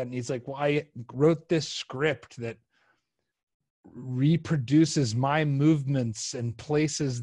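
A man's voice: mid-range voice, darkish tone, no echo. A middle-aged man chatting casually as a podcast host, speaking close to the microphone. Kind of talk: casual conversational podcast interview. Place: small room with couch, indoors. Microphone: close podcast microphone on boom, headset.

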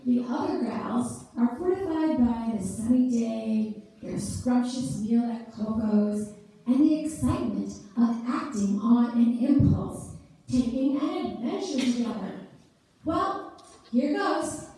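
A woman narrates a staged reading in a soft, mellow tone. Her pace is slow and her pitch sits fairly high. The sound is distant, from a roomy church. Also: expressive. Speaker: narrator in amateur reader's theater. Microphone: distant audience camera. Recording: echoey church hall from far off.

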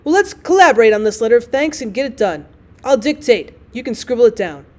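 A woman's voice: on the high side, sing-song, fairly quick. A woman voicing a gloomy, fussy husband in a storybook, with formal, declamatory phrasing. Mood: dry objection. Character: gloomy, fussy, indignant husband, grandly pedantic.

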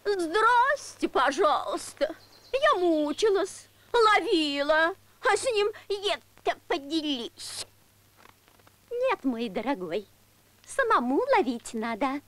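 A woman's voice: extremely high pitch, sing-song, takes it slowly, fairly bright tone, exaggerated voice. A woman voicing a sly, haughty female fox in a puppet cartoon, with a mock-sweet, dismissive manner. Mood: smug, dismissive.

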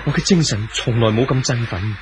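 An actor playing an earnest, brooding young lover in a 1970s radio drama, solemn and poetic, with a Hong Kong Cantonese accent. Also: male voice, medium pitch, a little fast, music in the background, lightly acted. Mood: tender, hopeful. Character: earnest young romantic man, idealistic and brooding.

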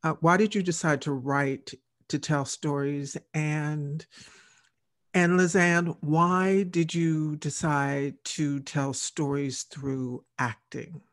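An older woman hosting an unscripted interview, speaking deliberately and repeating words for emphasis. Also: low-pitched, fairly even intonation. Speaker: interview host. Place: room at home, on a video call.

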